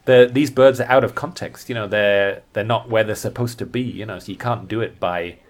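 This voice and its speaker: A man's voice: fairly low pitch, brisk. A man chatting casually as a birding guide on a podcast, thinking aloud and often rephrasing himself as he goes.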